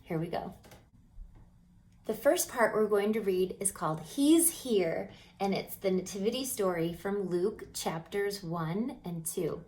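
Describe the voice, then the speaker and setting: Slow-paced woman reading a children's story. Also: medium pitch, animated. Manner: dramatic, emphatic delivery with hushed moments. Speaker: storybook reader. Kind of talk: expressive children's story read-aloud.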